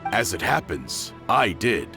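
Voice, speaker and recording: Easygoing villager for a game, animated intonation, conversational, faint music behind. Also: male voice, lowish voice, slow, gravelly, heavily acted. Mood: helpful. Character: helpful, easygoing local townsman with news. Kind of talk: video game voice acting.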